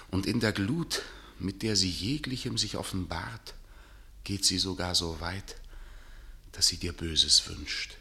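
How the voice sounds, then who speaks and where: Exasperated middle-aged playwright in a radio play, deep voice, really intense and bossy, stagey delivery. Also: male voice, pushed and bright, heavily acted. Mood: anguished, declaiming. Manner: commanding, declamatory. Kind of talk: theatrical radio drama acting.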